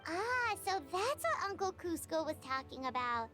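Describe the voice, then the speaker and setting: A woman voicing a tiny, curious, childlike companion in a video game, squeaky and chirpy. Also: sing-song, moderate pace, pushed and bright, faint music behind, heavily acted. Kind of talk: video game dialogue acting.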